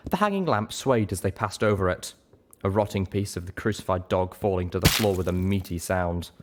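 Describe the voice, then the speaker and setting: A man's voice: low voice, lively intonation, a little fast, a little hiss or hum. A man reading a first-person adventure story aloud as dramatic narration. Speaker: audiobook reader.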